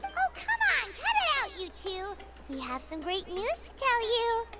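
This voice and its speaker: A woman voicing a tiny, excitable fairy-like female companion in a video game, bubbly and squeaky, talking about herself by name. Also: measured pace, full character voice. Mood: excited. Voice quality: high, squeaky. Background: game music.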